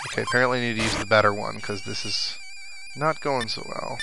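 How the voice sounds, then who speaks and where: A man's voice: lowish voice, fast, gravelly, poor audio. Musing man commentating over game music. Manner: musing, talks to himself. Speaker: let's play commentator. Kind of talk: casual game commentary, reading dialogue aloud. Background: video game music and sound effects.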